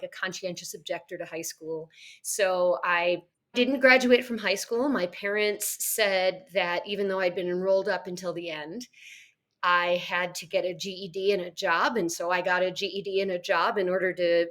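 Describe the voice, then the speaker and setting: A middle-aged woman is a guest on a podcast and talks frankly about her own past. She tells it in a matter-of-fact way. Her voice has a middling pitch. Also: fairly bright tone. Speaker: guest, educational crisis manager. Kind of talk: interview storytelling.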